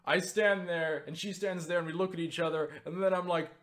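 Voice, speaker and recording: A young man casually recounting a story for viewers, excitable and full of swearing and filler words. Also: sits high, fairly quick. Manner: excitable, swears freely, lots of fillers and laughs. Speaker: YouTuber answering viewer questions.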